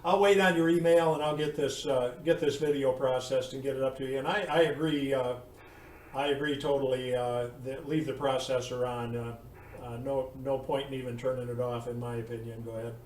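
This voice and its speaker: A man chatting casually as a radio operator, speaking in a halting, polite, informal way. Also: medium pitch, fairly even intonation, darkish tone. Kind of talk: casual ham radio chat. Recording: roomy, distant mic, faint noise.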